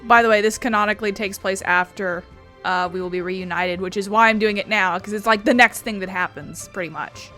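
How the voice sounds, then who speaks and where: A woman's voice: medium pitch, brisk, bright, forceful tone, soft background music. A woman giving casual, chatty let's-play commentary, musing aloud as the host of a gaming video.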